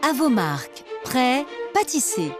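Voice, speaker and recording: A woman's voice: high-pitched, very animated, a little slow, over music. A woman presenting a television competition in a scripted promotional style. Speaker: show presenter.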